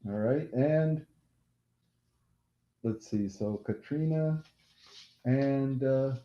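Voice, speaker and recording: A man hosting a casual live-stream quiz game who has a loud voice, repeats each question and apologizes during asides. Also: mid-range voice, drawn-out words. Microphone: laptop mic. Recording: echoey room, dull lo-fi laptop audio.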